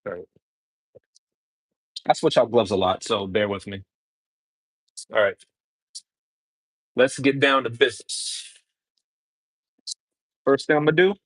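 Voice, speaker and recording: Casual New guy, home cook on a live stream, chatty and relaxed, mid-pitched voice, dry close sound. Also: no echo, rough recording. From New York City, Brooklyn. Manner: easygoing, chatty, answers viewer comments while cooking. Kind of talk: casual livestream cooking chat.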